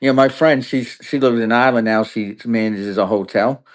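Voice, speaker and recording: A male podcast host in casual talk, drifting from point to point. His voice sits at an ordinary middle pitch, and he stumbles and restarts often as he thinks aloud. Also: fairly even intonation, moderate pace. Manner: loose, rambling, many restarts and fillers. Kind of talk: casual political chat.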